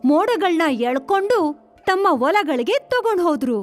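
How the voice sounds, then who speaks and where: Very high-pitched woman narrating stories. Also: very animated, normal speed, soft background music. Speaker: story narrator. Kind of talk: scripted children's story narration.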